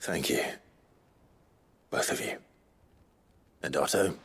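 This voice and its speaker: An actor playing a weary, resolute young outlaw leader in a dramatic video game, speaking in a measured, grave, understated way. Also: male voice, very deep voice, fairly bright tone, slightly rough, full character voice. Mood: earnest.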